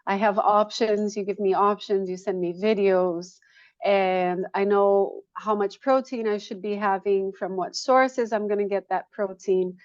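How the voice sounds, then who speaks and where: A woman from Brazil speaks as a guest in a casual interview, with a mid-pitched voice. She is matter-of-fact and restates her points for emphasis. The sound is very dry and a little muffled. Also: steady tone, a little slow, no room sound. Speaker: guest, yoga studio owner.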